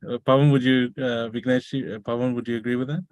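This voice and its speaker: A man's voice: mid-range voice, level tone, a little mellow, no room sound. Man hosting a webinar, dry sound. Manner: halting, thinks aloud while moderating. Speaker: webinar host. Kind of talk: casual panel moderation.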